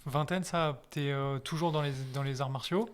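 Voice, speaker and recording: Smooth, higher-voiced male host asking loosely. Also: fairly even intonation, moderate pace. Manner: hesitant, rephrasing questions. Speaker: podcast host. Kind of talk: casual interview questions.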